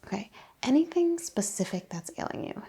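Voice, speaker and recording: An attentive female chiropractor, played by a woman in an ASMR roleplay, speaks softly and without hurry. The voice is mellow and husky with some fry, and the questioning is gentle and reassuring. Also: on the high side, very animated, voice pushed up, exaggerated voice. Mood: calm, attentive. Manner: hushed, unhurried, gently questioning. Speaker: ASMR performer playing a clinician. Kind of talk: ASMR medical roleplay, soft-spoken.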